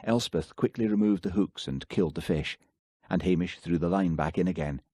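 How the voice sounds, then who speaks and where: A man narrating an audiobook with calm, steady pacing. His voice is very low and slightly husky, and the recording is dry and close with no room sound. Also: normal speed, dry recording. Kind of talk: scripted narration.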